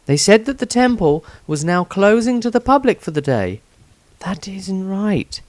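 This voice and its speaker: Man putting on a high-voiced earnest young priestess. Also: very animated, measured pace, no room sound. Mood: troubled, urgent. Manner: careful, formal phrasing. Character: earnest young priestess, gentle but anxious. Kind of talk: fantasy audiobook character voice.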